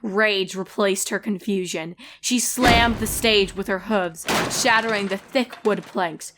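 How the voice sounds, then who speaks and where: A woman reading scripted storybook narration in a dramatic, expressive manner. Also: mid-range voice, slow, music in the background.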